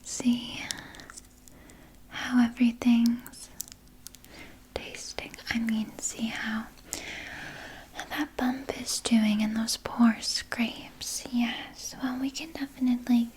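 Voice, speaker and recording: A woman playing a sinister, doting vampire nurse in an ASMR roleplay, speaking in a breathy, coy, soothing whisper. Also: on the high side, flat delivery, a little mellow, heavily acted, low-quality recording. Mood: coy, hungry. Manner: slow, coy, soothing, with murmured asides. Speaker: ASMR performer.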